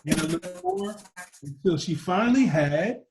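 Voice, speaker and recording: Higher-voiced man reading aloud, roomy echo. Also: wildly swooping pitch, normal speed, vocal fry, a little mellow. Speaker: storytime reader. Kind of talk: reading a children's picture book aloud.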